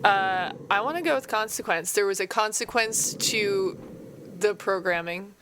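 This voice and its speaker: 여성 게임 플레이어, 잡음 있는 녹음. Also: female voice, mid-range voice, measured pace, noisy background. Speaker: tabletop game player. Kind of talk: casual game chat, describing character actions.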